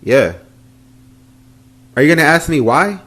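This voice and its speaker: A man's voice: a little high, big pitch swings, a little slow, a bit bright, exaggerated voice. A man voicing a sixteen-year-old boy in a comedy skit, whiny and pleading with his mother. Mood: mopey. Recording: roomy echo.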